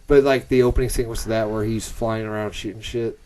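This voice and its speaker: A man's voice: on the low side, steady tone, fast, darkish tone. A man co-hosting a casual podcast, thinking aloud and putting questions piece by piece in an informal way. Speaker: podcast co-host. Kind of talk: casual chat, joking and questioning.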